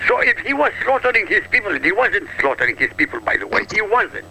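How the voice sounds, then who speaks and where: A man from Iraq argues on talk radio in short, punchy, combative bursts. His voice sits high and swings widely, with a gravelly edge and a sharp, bright tone. A faint hiss runs under the line. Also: sing-song, fast talker, poor audio. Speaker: radio talk show regular. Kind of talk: heated political talk radio.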